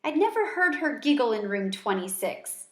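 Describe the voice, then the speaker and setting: A woman with a fairly high voice reads a children's story aloud. Her delivery is expressive, shaped for storytelling, and the room adds a clear echo. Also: moderate pace. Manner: expressive, acting out character dialogue. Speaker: reader of a children's book.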